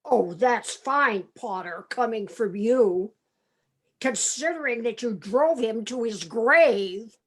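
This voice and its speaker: A woman playing a slow, excitable folksy old uncle. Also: fairly high pitch. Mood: bitter. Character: excitable, folksy older uncle in his fifties. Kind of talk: amateur radio play acting. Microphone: video call.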